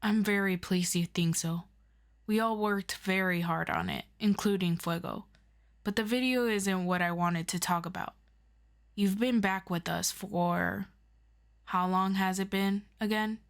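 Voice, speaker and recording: Audio drama superior, played by a woman: courteous, deliberate questioner, slow delivery, mid-range pitch, quietly probing. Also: fairly even intonation, natural voice. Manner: measured, formal, deliberate questioning. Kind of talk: scripted audio roleplay addressed to the listener.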